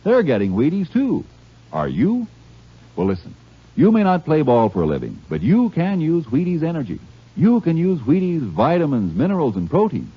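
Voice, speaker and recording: Swooping husky radio ad man, vintage recording. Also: on the high side, huge pitch swings, normal speed, highs cut off. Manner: emphatic, salesy delivery. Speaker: radio commercial announcer. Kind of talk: 1950s radio advertising pitch.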